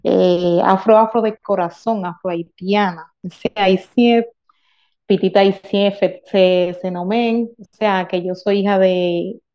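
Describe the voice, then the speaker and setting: Dominican female guest speaker, unhurried, halting and emphatic, explaining from experience, slightly dull lo-fi sound. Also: mid-range voice, fairly even intonation. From Dominican Republic. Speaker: guest speaker in a group discussion. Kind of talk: unscripted explanation of lived experience.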